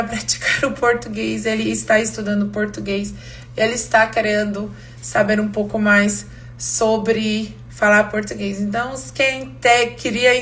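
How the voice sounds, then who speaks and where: A woman's voice: mid-range voice, fairly bright tone, slight background noise. A woman from Romania, a native Hungarian speaker with a Hungarian accent, teaching a live online language class, switching between Portuguese and English and laughing often.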